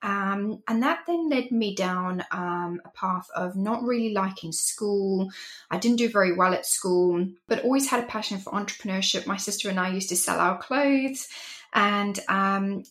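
A woman's voice: medium pitch, normal speed, a bit bright. A woman in her forties from Zimbabwe, a business and life coach telling her story as a guest in an earnest, reflective way. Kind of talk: interview storytelling.

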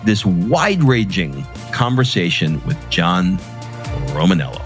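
A man's voice: deep voice, very animated, a little slow, over music. A man from Queens, New York, hosting a podcast interview in an enthusiastic, chatty way. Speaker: podcast host. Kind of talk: casual interview chat.

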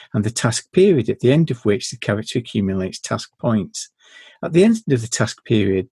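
A man hosting a podcast, talking in a dry, measured, deadpan way and reading game rules aloud. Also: mid-range voice, breathy edge, no room sound. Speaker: podcast host.

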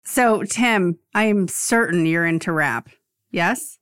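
A woman's voice: fairly low pitch, takes it slowly, fairly bright tone, clean tone, no echo, clean studio sound. A woman co-hosting a podcast in casual chat, with a blunt, teasing manner. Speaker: podcast host.

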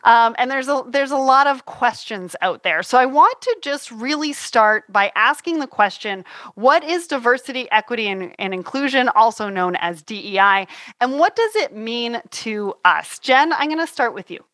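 A woman's voice: fairly high pitch, expressive, moderate pace, a bit bright, dry recording. A woman hosting and moderating a panel discussion in an upbeat, enthusiastic way.

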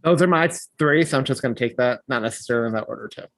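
A man's voice: mid-range voice, very fast, fairly bright tone, no echo. A man hosting a casual panel chat on a podcast. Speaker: podcast host.

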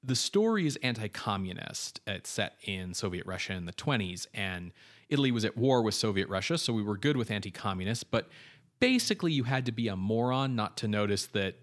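Low-voiced man reading film history notes. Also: big pitch swings, brisk. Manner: informative, with casual fillers and asides. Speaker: podcast co-host.

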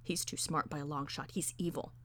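Vengeful woman in a storybook tale, acted by a female narrator: low, hushed, breathy, grimly insistent. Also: fairly even intonation, fairly quick, dry recording. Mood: grim, menacing. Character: exasperated, vengeful woman, grimly set on killing.

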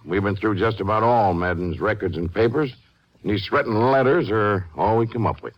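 An actor playing a practical, folksy small-town sheriff in a 1950s western radio drama. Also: male voice, fairly low pitch, fairly quick, close to an everyday voice. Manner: folksy, conversational. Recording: dull, lo-fi 1950s radio recording.

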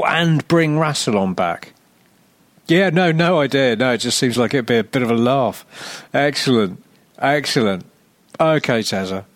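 A man's voice: mid-range voice, no echo, natural voice. A man voicing a chatty, vague publishing executive in a phone call, rambling and hesitant with plenty of ums and yeahs.

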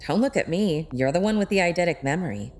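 An actress playing a sharp, sassy young hacker sidekick in an audio drama, talking in a casual, wry, blunt way. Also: female voice, lowish voice, expressive, fast talker, slight background noise. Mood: wry, curious.

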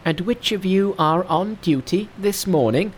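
A man's voice: measured pace, slight background noise. A man voicing a brash, boastful, hot-tempered monkey king in a storybook reading. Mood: commanding. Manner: shrill, excitable, boastful.

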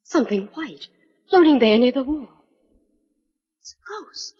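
Excitable female assistant, high voice, very husky, old radio drama. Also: measured pace, full character voice. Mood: frightened. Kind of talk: 1940s radio acting. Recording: dull, reverberant 1940s radio recording.

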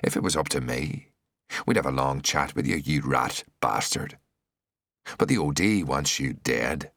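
A man's voice: a bass voice, lively intonation, measured pace, vocal fry, fairly bright tone, no echo, studio quality, voice pushed down, full character voice. A man acting a menacing, gloating gunman. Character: menacing, gloating gunman enforcer, cruel and sure.

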